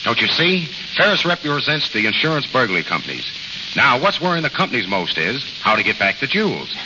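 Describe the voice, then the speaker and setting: An American actor playing a tough, wisecracking gang boss in a 1930s radio drama, with clipped, slangy talk. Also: male voice, mid-range voice, huge pitch swings, fairly quick. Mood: urgent, scheming. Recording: muffled, noisy old radio recording, reverberant.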